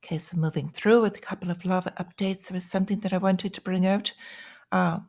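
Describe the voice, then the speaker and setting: A woman's voice: lowish voice, steady tone, brisk, darkish tone. A woman giving informal news commentary in a plain-spoken, explanatory and cautionary way, as the host of a financial vlog.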